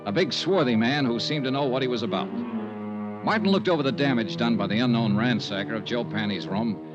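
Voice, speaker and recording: An American actor playing a tough, shrewd insurance investigator in clipped 1950s radio detective style. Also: male voice, mid-range voice, a little fast, close to an everyday voice. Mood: businesslike narrating. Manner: clipped, hard-boiled delivery. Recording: vintage 1950s radio recording, dull, music behind.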